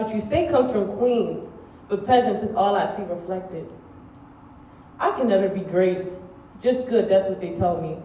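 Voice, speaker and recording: A young woman performing a poetic monologue on stage with rhythmic, deliberate phrasing. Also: mid-range voice, mellow. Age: young adult. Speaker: student spoken-word performer. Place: stage in a large room. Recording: muffled, echoey, distant, faintly noisy.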